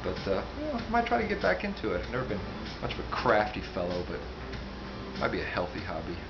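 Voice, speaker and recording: A man giving a casual, unscripted book review, rambling and halting, with asides that trail off. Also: lowish voice, huge pitch swings, noisy background. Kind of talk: casual, unscripted talk to camera. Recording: dull sound with music behind. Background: music.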